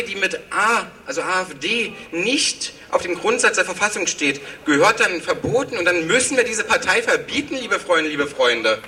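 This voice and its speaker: Fiery high-voiced man rallying a crowd. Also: bright, forceful tone, far from the mic, a little hiss or hum. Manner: fiery, repeats rallying calls. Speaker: rally speaker. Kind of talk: rally speech. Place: outdoor demonstration.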